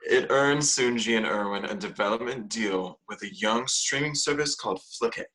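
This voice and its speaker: Bright-voiced, theatrical gossipy man, acted. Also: on the low side, moderate pace, poor audio. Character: devious, gossipy, theatrical young man confiding to camera. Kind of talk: comedic mockumentary confessional script read.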